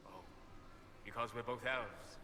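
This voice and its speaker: A man playing a reserved, principled elf mage in a fantasy video game, speaking in an articulate, deliberate way. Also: medium pitch, lightly acted. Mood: measured, reserved. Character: measured, principled male elf apostate mage. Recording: long reverb with clear background noise.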